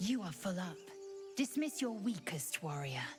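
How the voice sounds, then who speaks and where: A woman's voice: lowish voice, sing-song, measured pace, music in the background. An actress playing a haughty, commanding alien warrior woman in a video game, clipped and disdainful. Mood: curt. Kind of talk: video game dialogue acting.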